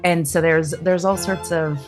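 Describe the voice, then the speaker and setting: Female podcaster, somewhat low register, casual promotional chat over a faint music bed. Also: steady tone, measured pace. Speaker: podcast speaker promoting a project.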